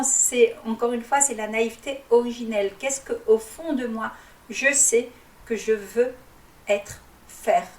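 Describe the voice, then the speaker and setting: A woman whose first language is Arabic, speaking as a life coach and guiding listeners through a reflection in a calm, teaching way with frequent hesitations. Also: on the high side, roomy sound, distant mic, poor audio. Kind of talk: guided reflection talk.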